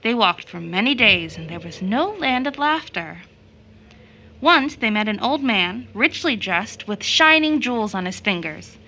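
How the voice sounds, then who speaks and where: A woman's voice: a little high, sing-song, unhurried, bright, forceful tone, a little hiss or hum, full character voice. A woman acting as a storyteller in an audio drama, narrating a children's fairy tale expressively. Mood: storytelling.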